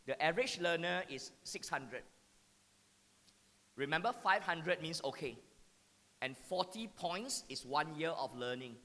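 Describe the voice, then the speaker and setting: A man's voice: a little high, fairly bright tone. A man with a Singaporean accent giving a talk to teachers, repeating key points and checking in with the audience with "yeah?". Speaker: education speaker. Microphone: handheld mic. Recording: hall with long reverb.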